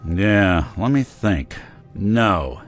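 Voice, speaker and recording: A weary robot gumshoe from a video game, speaks low in an American accent. His delivery is deadpan and wry, yet it rises and falls expressively, with faint music underneath. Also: extremely slow, exaggerated voice. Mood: wry, easygoing. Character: weary wry robot private detective, middle-aged male, good-hearted. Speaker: companion character in a video game. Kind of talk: video game voice acting, noir detective.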